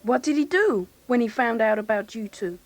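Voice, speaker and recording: A woman's voice: a little high, moderate pace, no echo, lightly acted. A woman playing an eager, inquisitive teenage boy in a dramatised read-along story, talking in a casual, blunt way. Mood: curious.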